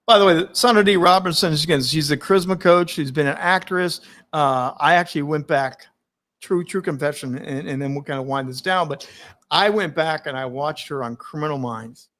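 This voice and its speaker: A man hosting a live-stream conversation, talking in an energetic, emphatic way, laughing often and speaking openly about his stutter. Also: on the high side, moderate pace. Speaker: host.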